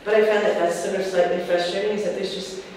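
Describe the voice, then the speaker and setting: A woman in her forties on an art panel, talking in a rambling, animated way with many fillers. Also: mid-range voice, quick pace. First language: not Czech. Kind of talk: panel discussion. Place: room with curtains, seated on sofa. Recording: distant mic, echoey hall, noisy.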